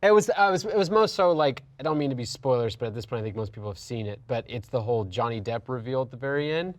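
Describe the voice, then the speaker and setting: A man with a medium-pitched voice talks quickly on a discussion panel, recorded close and dry. He is opinionated and casual, hammering his points by repeating phrases. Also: animated, no room sound. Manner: emphatic, repeats phrases for emphasis. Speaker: panel host on a film and gaming discussion show.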